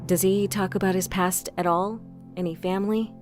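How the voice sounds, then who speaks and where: A woman's voice: mid-range voice, fairly even intonation, moderate pace, a little mellow, soft background music, lightly acted. A woman playing a composed, inquisitive police inspector in an audio crime drama. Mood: probing.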